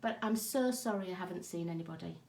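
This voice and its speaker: A woman with a croaky, hoarse voice from a cough, chatting casually with viewers by name as she hosts a craft live stream from her home craft room. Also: lowish voice, fast talker, a little mellow, a little hiss or hum. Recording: echoey room.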